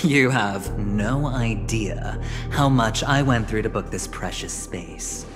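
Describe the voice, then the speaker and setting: A smug young gambler from a video game, echoing in a long reverb. He is smooth-talking and theatrical, drawing his words out slowly over background music. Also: on the low side. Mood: amused, smug. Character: suave, scheming young male gambler, smugly friendly. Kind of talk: dramatic video game voice acting.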